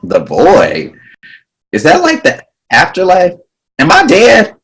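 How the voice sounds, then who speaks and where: A man's voice: high-pitched, wildly swooping pitch, slow pace, gravelly, heavily acted, rough recording. A man playing a bewildered, panicky teenage boy who has become a merman, hesitant and alarmed, at a screenplay table read. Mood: confused, alarmed. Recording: faint background noise.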